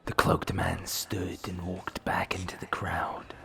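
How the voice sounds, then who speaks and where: A man narrating a dark fantasy audio drama as a grave storyteller, in a breathy, gravelly near-whisper. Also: low voice, completely flat delivery, exaggerated voice. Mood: grave, mysterious. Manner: slow, grave, level.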